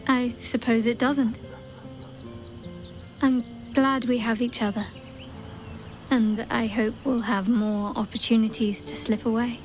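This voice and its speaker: An actress playing an affectionate, softly teasing lover in an intimate video game scene, gentle and halting. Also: female voice, fairly high pitch, fairly even intonation, faint music behind, natural voice. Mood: tender, playful.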